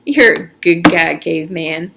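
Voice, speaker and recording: A woman's voice: lowish voice, unhurried, vocal fry, noisy background, pitched below the performer's own voice. A woman reading the part of a coaxing, self-serving teenage boy who leads a gang, with informal, persuasive talk. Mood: insistent.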